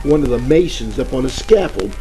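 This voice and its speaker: An actor playing a bumbling, boastful private detective in a parody of 1940s radio drama, telling rambling stories in hammy slang. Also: male voice, mid-range voice, lively intonation, a little fast, warm tone, lightly acted, rough recording. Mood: aggrieved. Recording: old-time radio with music behind.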